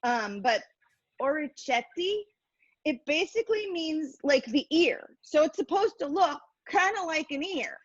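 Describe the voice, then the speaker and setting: A woman's voice: high voice, expressive, unhurried, dry recording, highs cut off. A woman giving a casual hands-on cooking demonstration, chatty, playful and self-deprecating as she explains each step. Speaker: cooking instructor.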